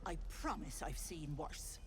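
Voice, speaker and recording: An actress playing a folksy, doting old herbalist auntie with a sly streak, in a theatrical, cooing video game performance. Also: female voice, low-pitched, lively intonation, faint music behind. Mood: cosy, fussing. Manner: cooing, theatrical, slow and sing-song endearments. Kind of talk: video game character acting.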